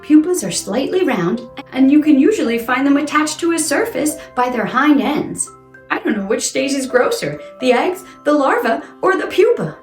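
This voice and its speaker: Woman, children's presenter, highly expressive intonation, exclamatory and playful, echoey room, music underneath. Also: moderate pace. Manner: exaggerated, exclamatory, asks questions of young listeners. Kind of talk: playful children's science read-aloud. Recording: roomy echo with music underneath.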